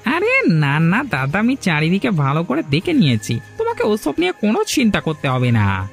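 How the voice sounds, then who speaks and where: A performer plays a sly cartoon henchman, an eager village troublemaker who goes along with the boss. The voice is creaky and swings widely in pitch, over faint music. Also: huge pitch swings, normal speed. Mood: sly, reassuring. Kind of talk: cartoon dialogue.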